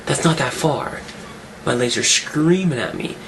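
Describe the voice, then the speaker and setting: Chatty man with a cold-roughened voice. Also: mid-range voice, animated, measured pace, noisy background. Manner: rambling, chatty, restarts sentences. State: getting over a cold, rough voice. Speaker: fitness vlogger. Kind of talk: casual weekly update vlog.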